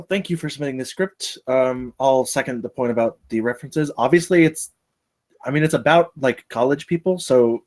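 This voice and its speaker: A man with a medium-pitched voice gives informal critique. He talks in a chatty, rambling way and often breaks off to restart his thought. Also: darkish tone. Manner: rambling, chatty, self-interrupting. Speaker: table read participant giving script feedback. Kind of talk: casual spoken critique.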